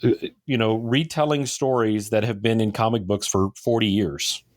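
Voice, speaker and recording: A man's voice: fairly low pitch, fairly even intonation, moderate pace. A man chatting casually and informally as a podcast co-host, readily giving his opinions.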